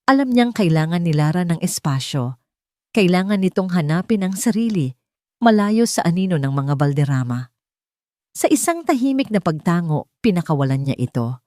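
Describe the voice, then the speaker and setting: A woman's voice: lowish voice, sing-song, normal speed, darkish tone, dry recording, clean studio sound. A woman reading a scripted dramatic audiobook as the story's narrator.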